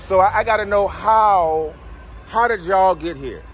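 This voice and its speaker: A man chatting casually and excitedly as a tour guide, emphatic and often repeating his phrases. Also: high voice, measured pace. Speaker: tour guide interviewing guests. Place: outdoors by a brick building and tour bus. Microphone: handheld phone, speaker behind camera. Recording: clear outdoor street and vehicle noise.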